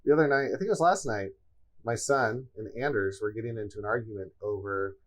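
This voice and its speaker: A man's voice: lowish voice, brisk. A man co-hosting a casual podcast chat, telling easygoing stories and laughing easily. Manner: relaxed storytelling, easy laugh. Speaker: podcast co-host.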